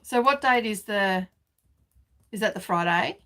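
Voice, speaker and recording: A woman's voice: mid-range voice, lively intonation, a little slow, a bit bright. A woman, hosting a casual live sewing chat from her home studio, chatty and laughing often. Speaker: sewing vlog host.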